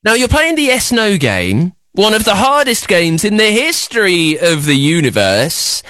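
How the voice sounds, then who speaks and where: A man's voice: high voice, a little slow. A man hosting a children's radio show, running a quiz with a young caller in a playful, teasing, boastful way. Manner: teasing, boastful, exaggerated excitement.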